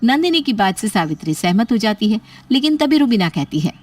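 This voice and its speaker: Animated woman storyteller with lively pitch. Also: fairly quick. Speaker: story narrator. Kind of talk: scripted storytelling narration.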